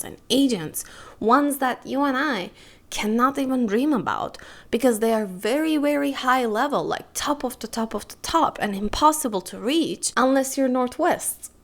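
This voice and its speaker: A woman's voice: expressive, unhurried. A young woman from Turkey hosting her own podcast, talking candidly and emphatically in a solo monologue. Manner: candid, emphatic, stresses words with rising pitch. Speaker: podcast host.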